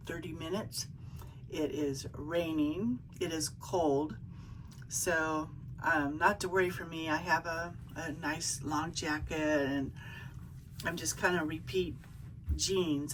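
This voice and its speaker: A middle-aged woman vlogging about her cruise in a chatty way, full of ums and restarts, with a croaky, hoarse voice. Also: sits low, slight background noise, rough recording. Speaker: travel vlogger. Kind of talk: casual vlog chat.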